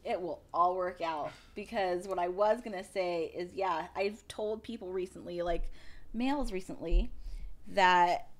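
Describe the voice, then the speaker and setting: This is a woman in her thirties with a slightly low voice. She speaks informally and with emphasis, as a co-host in a relaxed chat. Her delivery is unscripted and conversational. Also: measured pace. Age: 30s. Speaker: podcast co-host, cannabis industry professional. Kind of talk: casual chat.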